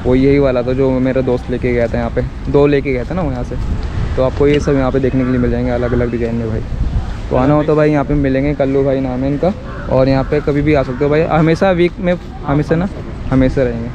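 A man's voice: medium pitch, fairly even intonation, fast, lo-fi sound. A young man with an Indian accent gives casual, repetitive vlog commentary for his viewers, recorded on a handheld camera in the street. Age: young adult. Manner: informal, repetitive, explaining to viewers. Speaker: shopping vlogger. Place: outdoor street market and roadside. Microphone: handheld action camera at arm's length. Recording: noisy outdoor street with traffic. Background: street and vehicle noise.

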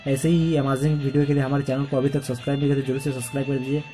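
Mellow-voiced man giving a phone tutorial. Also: mid-range voice, level tone, very fast, faint music behind. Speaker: tech tutorial host. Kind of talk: casual phone tutorial narration.

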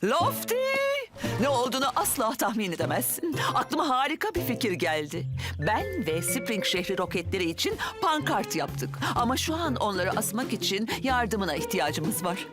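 A woman voicing an excitable, enthusiastic character in a dubbed children's cartoon. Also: mid-range voice, normal speed, over loud music, natural voice. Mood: excited.

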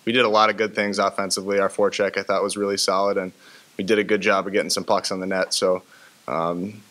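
A young man, a college hockey player, answering questions at a postgame press conference at a table microphone, low-key and halting with many fillers. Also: flat delivery, a bit bright. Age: young adult. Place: press room with sponsor backdrop.

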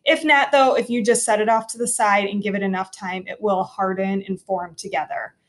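A woman's voice: mid-range voice, steady tone, fairly quick. Cheerful woman teaching kids to cook. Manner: cheerful, clear, instructive. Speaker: teacher hosting a kids' cooking video. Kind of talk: step-by-step cooking demo for children. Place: home kitchen, indoors.

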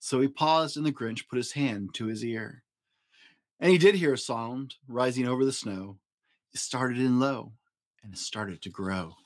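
A man in his forties giving an expressive, rhyming storybook narration for children. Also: mid-range voice, a little slow. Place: small home room, indoors.

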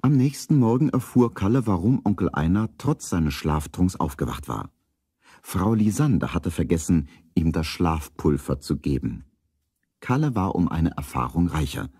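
A man narrating a children's radio play as a calm storyteller, reading scripted prose. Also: low-pitched, normal speed, slightly rough, no echo, studio quality, heavily acted. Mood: measured, storytelling.

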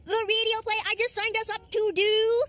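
Bossy preteen girl, cartoon, very high voice, brisk and commanding. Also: little pitch movement, background noise. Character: bossy, ambitious preteen girl, take-charge organizer.

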